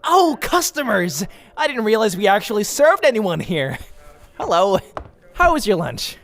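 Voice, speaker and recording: A man voicing a skinny, excitable young cartoon bootlegger who talks in a theatrical, wordy, rambling way. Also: sits high, unhurried, exaggerated voice. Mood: breezy, cheeky. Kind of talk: animated comic dub acting. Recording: faint background noise.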